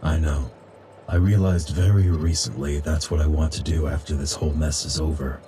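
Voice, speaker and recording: A very deep-voiced, weary warrior from a video game. He speaks in short, measured phrases with a calm, quietly kind temper. Clear background noise sits behind the voice. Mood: quiet, regretful.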